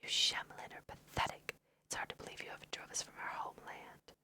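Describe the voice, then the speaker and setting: A woman's voice: quick pace, pushed and bright. Woman whispering as a scornful elf hunter. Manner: contemptuous, accusing. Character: scornful young male elf hunter in fantasy game.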